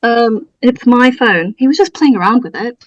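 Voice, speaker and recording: A woman's voice: on the high side, lively intonation, normal speed, no room sound. Woman playing an indignant father, dull distant sound. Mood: hesitant. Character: indignant middle-aged father defending his son. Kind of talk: amateur table read of a film script.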